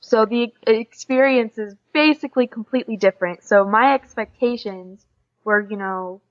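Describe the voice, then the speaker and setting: A woman's voice: on the high side, normal speed. An eighteen-year-old woman from Oklahoma with an American accent, giving casual answers as an interview guest. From Oklahoma, United States. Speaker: interview guest, exchange student. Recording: dull lo-fi podcast audio.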